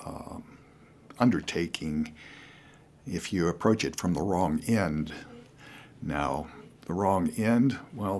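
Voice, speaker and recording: An older man giving casual, unscripted how-to advice to beginners as a hobbyist, in a halting, rambling way with frequent pauses. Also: low-pitched, a little hiss or hum.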